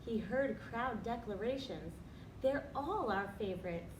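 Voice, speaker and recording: A woman with a slightly high voice tells a children's story expressively and deliberately. She sounds set back from the microphone in a roomy, echoing space with clear noise. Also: animated. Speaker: storyteller reading aloud to children. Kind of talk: expressive rhyming picture-book reading with asides. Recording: roomy, distant, noisy recording.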